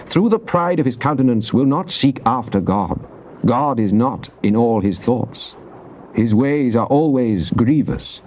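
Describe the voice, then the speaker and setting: Casting: British psalmist in Bible drama, grave, declamatory, fervent in prayer; muffled tape with faint noise. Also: male voice, medium pitch, darkish tone. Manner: solemn, declamatory, deliberate. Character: psalmist, fervent man praying and praising God. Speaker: scripture reader. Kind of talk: dramatized King James Bible reading. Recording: dull, noisy cassette tape transfer.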